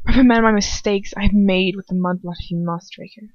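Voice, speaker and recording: A woman reading an audiobook as a cold, haughty wizard father who speaks in a quiet, clipped drawl. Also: mid-range voice, fairly even intonation, brisk, dry recording, natural voice. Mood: contemptuous. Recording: dull lo-fi close home recording.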